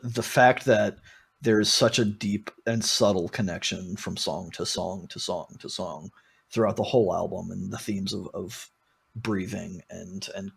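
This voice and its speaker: A man's voice: deep voice, gravelly, husky. A man co-hosting a podcast, talking casually about music and thinking aloud as he qualifies his points. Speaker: podcast co-host.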